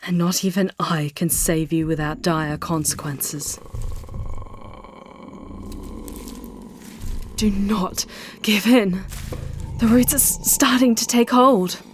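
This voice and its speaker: Over noticeable background noise, a seductive female demon played by a woman speaks very slowly in a lowish voice. She is intense and protective, with theatrical, hushed phrasing suited to a dramatic ASMR roleplay. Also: steady tone, close to an everyday voice. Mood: alarmed, pleading. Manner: theatrical, hushed, drawn-out phrasing, often slipping into whispers.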